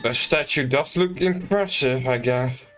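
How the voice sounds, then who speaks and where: A man giving casual, rambling commentary as he streams a video game, in a halting way. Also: mid-range voice, fairly bright tone. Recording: game music behind the voice.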